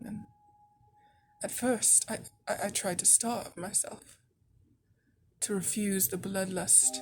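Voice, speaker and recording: A wistful vampire baroness, acted by a woman, confesses softly and haltingly in an audio roleplay. Her pace is slow and her words are slightly formal, full of tender guilt, with music clearly playing behind her. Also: mid-range voice. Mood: haunted, regretful. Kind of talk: ASMR roleplay, dramatic monologue.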